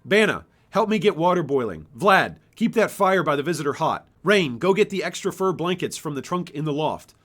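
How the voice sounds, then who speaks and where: Man voicing a bossy farm mother, higher-pitched. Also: sing-song, normal speed, hardly any pauses, a bit bright. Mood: brisk, commanding. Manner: commanding, directive. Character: bossy farm mother giving brisk household orders.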